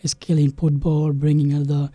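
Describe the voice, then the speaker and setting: Brief: young South Sudanese-born man, dark husky voice, halting, flat delivery, dry close mic. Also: a little high, dry recording. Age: young adult. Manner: halting, many restarts and "you know". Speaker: guest, game developer. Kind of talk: interview storytelling.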